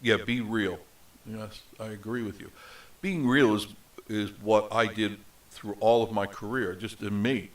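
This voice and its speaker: A middle-aged man talks off the cuff on a live show, his nasal voice sitting somewhat low. He wanders through thoughts with plenty of ums and restarts. He sounds a little distant from the microphone. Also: a bit bright. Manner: rambling, with many fillers and restarts. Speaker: host of a live travel show. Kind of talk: unscripted casual live chat. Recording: voice set back from the mic.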